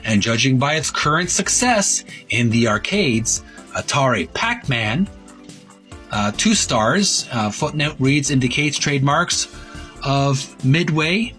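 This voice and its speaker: A man hosting a retro gaming video, talking casually in a halting, wordy way, rephrasing himself as he shows and reads printed material. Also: medium pitch, a bit bright. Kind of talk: casual narrated show-and-tell, reading printed ad copy aloud.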